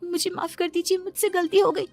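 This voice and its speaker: A woman's voice: very high voice, little pitch movement, fairly quick, darkish tone, faint music behind, pitched above the performer's own voice. A woman voicing a meek, dutiful young daughter-in-law in a cartoon family story. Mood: apologetic.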